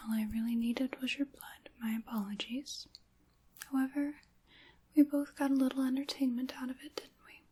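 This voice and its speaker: A woman voicing a sinister, mock-courteous dark witch for an ASMR roleplay, in a breathy whisper with theatrical, formal wording. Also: high voice, level tone. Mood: mock-apologetic. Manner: slow, theatrical, formal old-fashioned wording.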